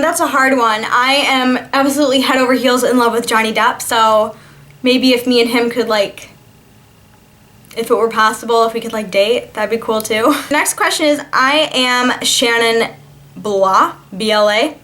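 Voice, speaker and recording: A woman's voice: on the high side, fairly even intonation, normal speed, a bit bright. A twenty-year-old woman chatting casually in a question-and-answer vlog, informal and talkative as she reads and answers viewer questions.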